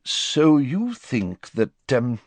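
A man's voice: medium pitch, sing-song, drawn-out words, dry recording, pitched above the performer's own voice, heavily acted. A man voicing a harassed, exasperated male prime minister who splutters and stammers, in an audiobook. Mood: hesitant. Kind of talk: audiobook character voice.

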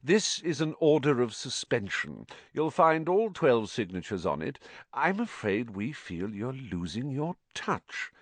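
Storybook villain: smug upper-class man as a male narrator acts him, silky, lazy, menacing, swooping pitch, close dry sound. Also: sing-song, no echo. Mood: smug, sneering. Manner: unhurried, smug, oily politeness. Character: sneering, smooth, lazily menacing aristocratic man. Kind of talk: audiobook character acting.

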